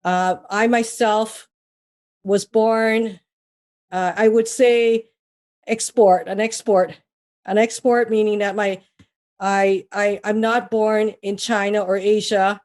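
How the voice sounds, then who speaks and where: A woman's voice: medium pitch, fairly even intonation, takes it slowly, a little mellow. A woman, a mortgage broker being interviewed, talking casually with many restarts and fillers. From Trinidad. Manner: rambling, with frequent restarts and fillers.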